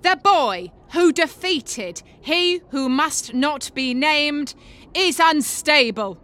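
A gossipy female newspaper reporter, acted by a woman, high in pitch. She reads her sensational article with emphasis and insinuation, as in audiobook drama. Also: animated, takes it slowly, bright, forceful tone, full character voice. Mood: insinuating. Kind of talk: dramatised reading of a tabloid article.

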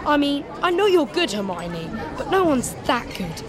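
A man's voice: very high voice, big pitch swings, normal speed, a crowd in the background, voice pushed up. A man voicing an anxious, incredulous teenage schoolboy in an exasperated tone.

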